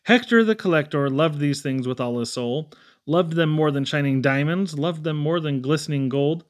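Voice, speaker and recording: A man reading children's poems aloud expressively, with theatrical pauses and emphatic swings. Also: on the high side. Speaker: poetry reader.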